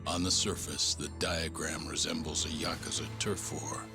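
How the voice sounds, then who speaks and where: An actor narrating a crime saga as a dramatic, grave recap. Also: male voice, extremely low pitch, flat delivery, moderate pace, vocal fry, over music. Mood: grave, ominous.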